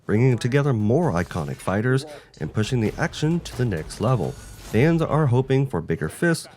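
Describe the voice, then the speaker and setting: A man's voice: mid-range voice, big pitch swings, normal speed, a little mellow. A man co-hosting a video game show, reading a scripted presentation. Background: background music.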